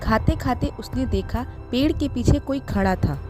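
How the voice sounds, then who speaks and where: A woman's voice: a little high, animated, normal speed. A woman reading scripted narration for a children's moral story. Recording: music behind the voice.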